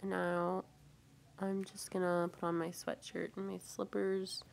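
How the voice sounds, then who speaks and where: A young woman narrating her morning routine in a casual, soft-spoken way while getting over a cold. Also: on the low side, a little slow, creaky, a little hiss or hum. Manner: relaxed, soft-spoken, with light laughs. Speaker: vlogger. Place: bedroom at home.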